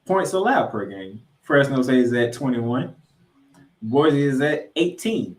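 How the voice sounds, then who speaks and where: A man co-hosting a sports podcast, previewing games and picks with drawn-out, emphatic announcer-style introductions. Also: mid-range voice, expressive. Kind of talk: college football game previews and picks. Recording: roomy, echoey sound.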